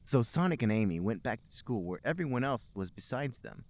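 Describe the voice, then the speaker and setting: A man's voice: medium pitch, very animated, fairly quick. A man hosting a podcast, reading amateur fiction aloud as comic narration with an emphatic storybook delivery.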